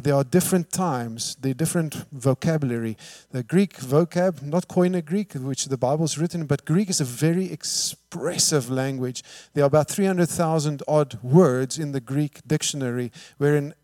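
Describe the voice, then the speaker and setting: Guy preaching, kinda high voice, dry sound, lots of rhetorical questions, gets quiet then loud. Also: dry recording. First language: Afrikaans. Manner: emphatic, rhetorical questions, swings from hushed to loud. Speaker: pastor. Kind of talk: sermon.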